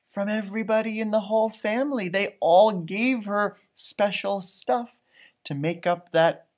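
A man in his thirties reading aloud expressively for children, with chatty asides and musing exclamations. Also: sits high. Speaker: teacher, storytime host. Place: indoors, school library.

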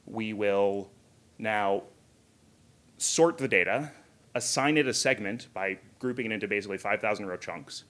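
A man's voice: lowish voice, moderate pace, a bit bright. Casual man presenting at a tech conference. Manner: casual, conversational explaining with asides. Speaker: conference speaker, software engineer. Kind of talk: informal technical conference presentation. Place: hotel conference room, indoors.

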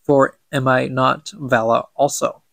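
A man voicing a cunning, proud dark god from a fantasy book, speaking in grand, persuasive archaic speech. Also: mid-range voice, fairly even intonation, a little slow, gravelly, no echo. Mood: coaxing, proud.

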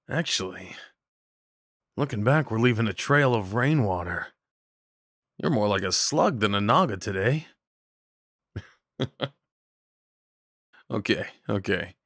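A man acting a kind, slightly awkward neighbor in an audio roleplay, talking in a gently concerned, hesitant, conversational way. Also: lowish voice, no room sound, studio quality. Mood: light, reassuring. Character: kind, awkward, caring male neighbor comforting someone. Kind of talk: ASMR roleplay, soft one-sided dialogue acting.

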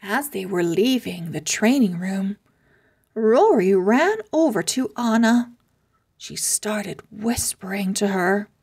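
Expressive woman reading a children's story slowly. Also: mid-range voice, slightly rough. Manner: expressive, deliberate. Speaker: read-aloud host and narrator. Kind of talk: children's storybook read-aloud.

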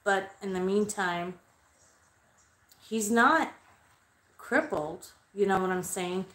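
A woman's voice: mid-range voice, slow, a bit bright, echoey room. A woman in her thirties chatting loosely with her livestream viewers from a small bedroom into a webcam, rambling and swearing freely. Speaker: livestreaming spiritual medium. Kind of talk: casual livestream chat. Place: small bedroom under red light. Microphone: webcam at desk distance.

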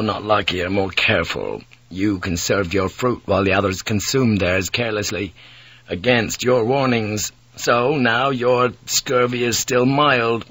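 A man's voice: fairly low pitch, pushed and bright, dry recording, poor audio. A man voicing a hardened English ship's pilot who speaks curtly and with command. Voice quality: curt, commanding.

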